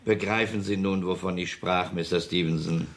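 An actor playing a smug, menacing gangster boss in a German radio crime drama, coolly mocking and commanding. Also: male voice, sits low, fairly even intonation, moderate pace, background noise. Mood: cold, domineering.

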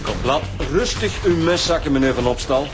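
Actor as animated, insistent detective. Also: male voice, a little high, fairly quick, music in the background, close to an everyday voice. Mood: urgent, reasoning. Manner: urgent, reasoning. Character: insistent male police detective talking down a suspect. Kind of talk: radio drama acting.

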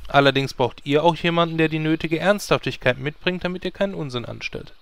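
A man's voice: on the high side, fairly quick, creaky, a bit bright, clear, resonant tone. A man playing a haughty, grumpy hero who takes himself seriously, in a parody audio drama. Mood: disdainful. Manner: disdainful, stern.

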